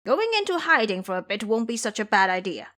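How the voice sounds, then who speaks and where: A woman's voice: a little high, sing-song, brisk, a bit bright. A woman voicing a blustering, cautious male pig-spirit disciple, blunt and grumbling, in an audio drama. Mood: wary, grumbling.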